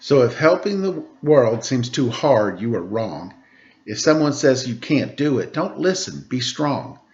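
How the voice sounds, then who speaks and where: A man teaching children, reading a rhyming picture book aloud with a steady rhythm, then chatting casually about it. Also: medium pitch, measured pace, highs cut off. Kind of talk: storybook read-aloud for children.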